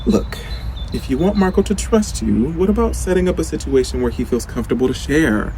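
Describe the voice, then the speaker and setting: A man's voice: on the high side, expressive, brisk, warm tone, slight background noise. A man playing an earnest, often exasperated life coach in a comic audio drama.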